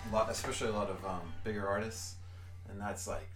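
A man's voice: lowish voice, fairly even intonation, fast, fairly bright tone, faint music behind. A man interviewing musicians in a casual chat, rambling with lots of "like" and "um". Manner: rambling, full of "like" and "um". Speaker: podcast host interviewing musicians. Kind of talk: casual conversation. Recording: roomy, distant mic.

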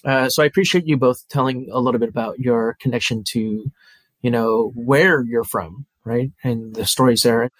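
A man's voice: medium pitch, steady tone, moderate pace, dry recording, low-quality recording. Easygoing male moderator, dry sound. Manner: easygoing, filler-heavy questions with warm backchannels. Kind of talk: conversational panel discussion.

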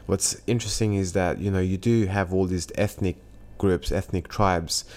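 A man from Afghanistan speaks in a very low, deep register. He chats casually in conversation, often breaking off and restarting his sentences. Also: steady tone, darkish tone. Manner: halting, restarts words often.

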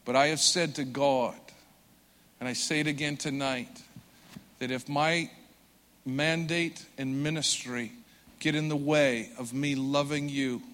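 A man preaching a sermon with earnest conviction, swelling loud for emphasis and dropping soft and slow. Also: medium pitch, steady tone. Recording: long hall reverb.

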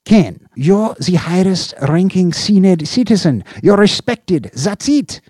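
Shrill, lively, fussy male bureaucrat, as a male narrator puts him on; dry close sound. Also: slow pace, husky, no echo, exaggerated voice. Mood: imperious. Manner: high, shrill, wheedling and cooing. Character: fussy petty male official, Slope.

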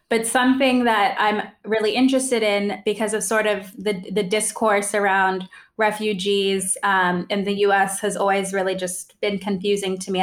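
A woman hosting a podcast, reading a scripted introduction and asking curious, conversational questions. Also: mid-range voice. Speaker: podcast host.

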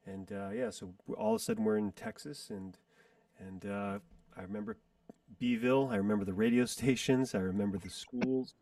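A man's voice: lowish voice, big pitch swings, gravelly, warm tone, no room sound. Forties male guest, rambling storyteller, dry sound from a distance. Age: 40s. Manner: rambling, reminiscing, frequent pauses to recall. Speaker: guest musician and entrepreneur. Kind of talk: casual interview storytelling.

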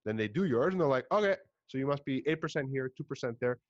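A young man with a Montreal English accent, hosting a podcast in casual chat, an animated storyteller who swears and puts on voices. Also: mid-range voice, quick pace, dry recording, muffled recording. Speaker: podcast host.

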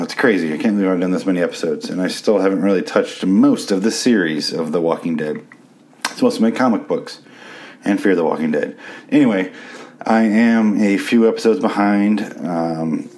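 A man hosting a casual solo review podcast, a little sick with a raspy voice, crude and sarcastic as he rambles. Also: sits low, a little mellow. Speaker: podcast host reviewing a TV series.

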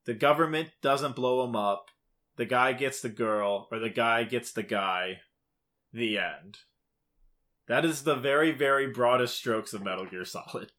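A man's voice: medium pitch, a little slow, fairly bright tone. A man co-hosting a podcast, casually recapping a game's story in emphatic, short clipped phrases. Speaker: podcast co-host. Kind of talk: casual video game plot recap.

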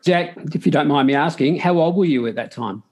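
A man's voice: fairly high pitch, a little fast, a little mellow. Man hosting a podcast interview. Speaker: podcast host.

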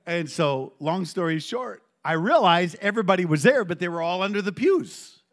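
A middle-aged man preaching to a congregation in a church, telling a personal story with lively call-and-response. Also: sits high, normal speed. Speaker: pastor.

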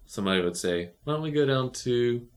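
A man's voice: medium pitch, expressive, fairly quick. A man co-hosting a podcast, chatting casually and rambling, with many fillers and restarts. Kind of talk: casual chat.

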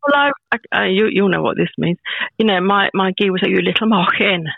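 A woman in her fifties, a proud grandmother, chatting as a podcast guest in a warm, rambling way with many restarts. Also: medium pitch, fairly quick, vocal fry. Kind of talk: casual chat. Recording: tinny phone-like line, dry.

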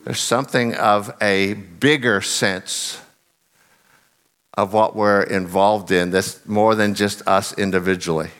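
A middle-aged man preaching a sermon in an earnest way, voice a bit husky and lowered after singing loudly, leaning hard on key phrases. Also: steady tone, slow.

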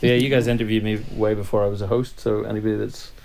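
A man's voice: fairly low pitch, flat delivery, fast talker, creaky, darkish tone, a little hiss or hum. A man from Ireland, co-hosting a podcast and casually quizzing his co-hosts in a blunt, chatty way. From Northern Ireland. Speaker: podcast co-host. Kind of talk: casual chat, interviewing co-hosts.

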